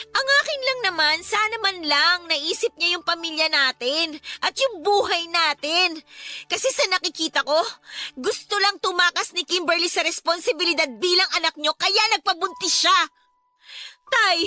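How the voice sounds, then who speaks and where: A woman's voice: very high voice, sharp tone, heavily acted. A Filipino woman playing a frustrated, outspoken eldest daughter in a radio drama, indignant and emphatic in her delivery. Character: frustrated, outspoken adult eldest daughter, dutiful but hurt. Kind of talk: Tagalog radio drama acting. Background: background music.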